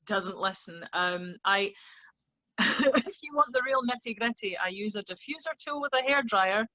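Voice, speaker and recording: A woman with a Scottish accent, a guest chatting casually and easygoingly, laughing softly at herself. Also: medium pitch, dull audio. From Scotland. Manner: soft laughs, easygoing and self-deprecating. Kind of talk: casual interview chat.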